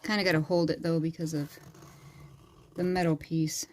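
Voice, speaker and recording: A woman with a sore, hoarse throat, casually talking through a craft tutorial in a small bedroom craft corner, rambling with lots of fillers. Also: low-pitched, little pitch movement, moderate pace. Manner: rambling and chatty, with frequent fillers. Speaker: crafting channel host. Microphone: phone camera.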